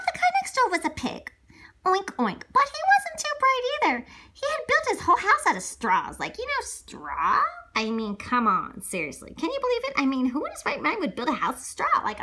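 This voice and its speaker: A woman's voice: very high-pitched, sharp tone, slight background noise, full character voice. A woman voicing a chatty, self-excusing male big bad wolf who tells a children's storybook in character, full of rambling asides and sly mock innocence. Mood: animated storytelling. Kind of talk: animated read-aloud children's storybook, told in character.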